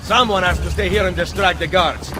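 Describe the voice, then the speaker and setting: A man's voice: high-pitched, steady tone, brisk, music in the background, lightly acted. A man playing a resolute, composed assassin leader with an Italian accent in a video game. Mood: urgent. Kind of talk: video game cutscene acting.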